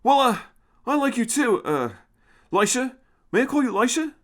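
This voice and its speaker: Guy doing a jittery young spaceman, high voice, stammers, polite but impatient, audiobook style. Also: sing-song, normal speed, noisy background. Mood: awkwardly friendly. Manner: stammering, nervous, polite bluffing. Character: eager young male space traveller posing as officer, impatient.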